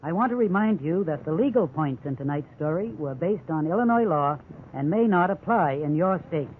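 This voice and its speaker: A man's voice: on the high side, expressive, moderate pace. An American man giving scripted legal commentary as a counselor on 1950s radio, formal and instructive. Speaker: legal counselor, law school dean. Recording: tinny, hissy 1950s radio recording.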